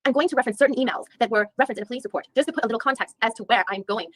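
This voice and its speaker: A woman's voice: fairly high pitch, very fast, no room sound, lightly acted. A woman reading the part of a female attorney who questions a witness methodically, with frequent restarts. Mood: probing. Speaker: reader in a deposition reenactment. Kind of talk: read-aloud legal reenactment.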